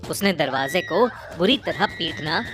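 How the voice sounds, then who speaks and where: A woman narrating a scripted horror story in a measured, suspenseful way, phrase by phrase. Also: on the low side, animated. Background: prominent music bed.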